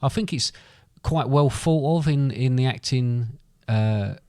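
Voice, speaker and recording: A man's voice: medium pitch, unhurried, dark tone, dry recording, studio quality. A middle-aged British man co-hosting a podcast in casual, bantering chat. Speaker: podcast co-host.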